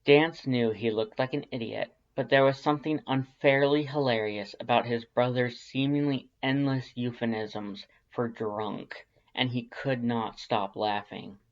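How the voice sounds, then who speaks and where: A man's voice: medium pitch, fairly even intonation, a little slow, few pauses, lo-fi sound. A man reading scripted fan fiction narration as a storyteller.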